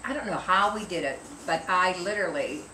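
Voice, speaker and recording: A woman in her sixties chatting casually, telling stories and getting animated when she quotes people. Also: lowish voice, a little fast. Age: 60s. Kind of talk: casual chat. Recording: echoey room, distant mic, loud background noise.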